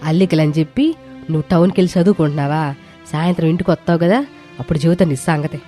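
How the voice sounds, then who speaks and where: Stern village mother in law done by a woman, sharp tongue, rustic talk, kinda low, cartoon moral tale. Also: quick pace, faint music behind, close to an everyday voice. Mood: angry, threatening. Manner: rustic colloquial speech, sharp and scolding. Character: stern, scolding middle-aged village mother-in-law, quick to anger. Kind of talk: dramatized moral story for a cartoon.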